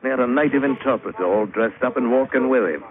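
An actor playing a rough, crafty English sailor in a 1950s radio drama, with colloquial, ungrammatical seafaring talk and a sardonic, scheming manner. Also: male voice, mid-range voice, quick pace, a crowd in the background, natural voice. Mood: brooding narration. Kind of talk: 1950s radio drama acting, first-person narration and dialogue. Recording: muffled, narrow-band vintage radio broadcast recording.

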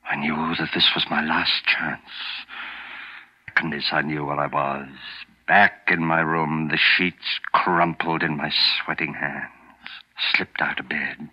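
A man's voice: a bass voice, breathy edge, full character voice. A man playing a frightened rich older hospital patient, narrating in tense, breathless 1940s radio drama style. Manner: tense, breathless dread, hushed to urgent. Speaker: lead actor and first-person narrator. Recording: muffled 1940s radio broadcast, music behind.

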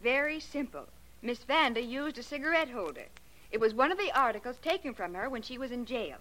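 An actress playing an eager, adoring American secretary to a detective, perky and deferential in 1940s radio drama style. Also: female voice, fairly high pitch, very animated, fairly quick, a bit bright. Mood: reading notes.

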